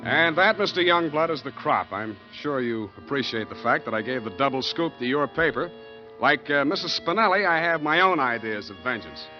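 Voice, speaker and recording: An actor playing a sardonic, fast-talking private detective in a punchy, exasperated 1940s radio style. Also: male voice, medium pitch, expressive, music in the background, natural voice. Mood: wry, confident. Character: fast-talking, wisecracking, hard-boiled male private detective. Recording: muffled vintage 1940s radio recording.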